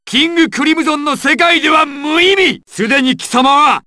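A man's voice: very high-pitched, moderate pace, pushed and bright, dry recording, studio quality, exaggerated voice. A man playing a proud, ruthless crime boss villain in an anime fighting game, with an imperious, menacing and theatrical delivery. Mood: furious, shouting.

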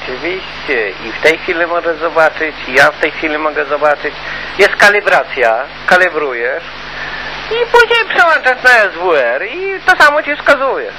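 A man's voice: on the high side, huge pitch swings, normal speed. A man giving advice in a casual, colloquial and repetitive way during a CB radio chat. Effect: CB radio transmission. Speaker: CB radio hobbyist. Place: two-way CB radio conversation. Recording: noisy CB radio transmission.

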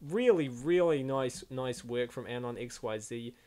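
A man's voice: mid-range voice, a little slow, a little mellow. A man hosting a comic dub with casual, slangy, exclamatory reaction commentary. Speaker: host of a comic dub channel.

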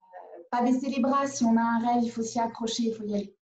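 A woman in her forties speaks over a webcam from her living room. She pauses often and restarts her sentences. Her voice is dark and mellow and a little high, and the call audio sounds dull and roomy. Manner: hesitant, many fillers and restarts. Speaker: independent perfumer. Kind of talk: conference video call interview. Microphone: laptop webcam on a video call.

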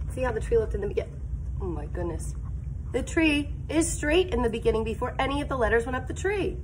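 Animated woman reading aloud to children. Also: a little high, a little hiss or hum, rough recording. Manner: expressive, deliberate, sing-song, prompts listeners to join in. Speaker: elementary teacher. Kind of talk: animated picture-book read-aloud for young children. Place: school classroom, indoors.

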